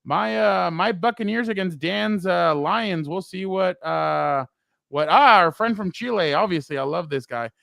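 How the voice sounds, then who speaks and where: A man co-hosting a casual sports talk show, loud and rambling, often laughing and trailing off. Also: high voice, animated, unhurried, creaky, dry recording, studio quality. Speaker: co-host.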